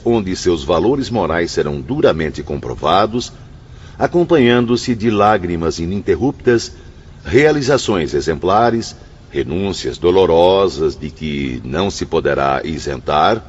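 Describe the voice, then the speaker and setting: A man's voice: low-pitched, darkish tone, husky, full character voice. A Brazilian actor playing a solemn, grave man in a radio drama, declaiming with emphasis. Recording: dull lo-fi audio, faint background noise.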